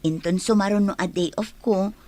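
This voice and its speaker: A woman's voice: medium pitch, steady tone, normal speed, dark tone, natural voice. A woman playing a dutiful, outspoken young daughter who works as a maid, speaking candidly in an audio drama. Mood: caring, earnest. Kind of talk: Ilocano radio-style drama.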